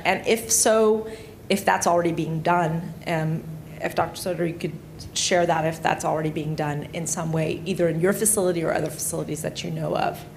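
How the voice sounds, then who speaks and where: A woman's voice: on the low side, creaky, a little hiss or hum. Woman calling out from the audience. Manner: loud, unamplified and wordy. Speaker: audience member asking a question. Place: auditorium. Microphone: no microphone, heard from far off.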